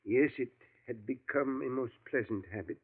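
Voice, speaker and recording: An actor playing an elderly male professor, a thoughtful scientist who talks in a measured way and chuckles often, in a 1940s radio drama. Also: mid-range voice, breathy edge, close to an everyday voice. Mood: confiding. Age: older. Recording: tinny lo-fi old radio broadcast.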